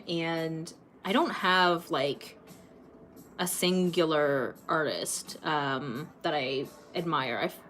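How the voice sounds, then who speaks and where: A woman in her late twenties from Canada, chatting casually with viewers while painting on a livestream, rambling a little and filling pauses with ums. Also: lowish voice, fairly bright tone, slight background noise. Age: late 20s. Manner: chatty, rambling, laughs often, many ums. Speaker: art livestream host.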